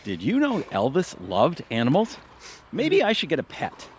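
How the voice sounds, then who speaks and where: A henpecked middle-aged husband in an audio comedy. He is goofy and easily indignant, with lively pitch swings. Faint ambient noise sits behind him. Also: moderate pace. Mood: goofy, upbeat. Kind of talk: comedic audio drama acting.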